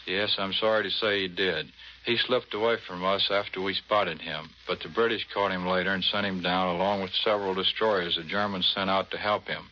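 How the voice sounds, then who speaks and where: A man's voice: sits low, steady tone, fairly quick, sharp tone. An American man, a Navy commander giving a modest, matter-of-fact scripted interview on 1940s radio. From United States. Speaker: Navy patrol squadron commander being interviewed. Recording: muffled, slightly noisy 1940s radio.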